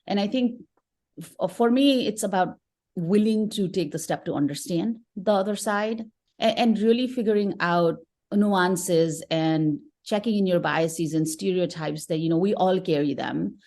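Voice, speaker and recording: A woman's voice: fairly low pitch, measured pace, a little mellow. A woman in her forties from Nepal, speaking earnestly and thoughtfully as an interview guest, often restarting phrases. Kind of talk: conversational interview.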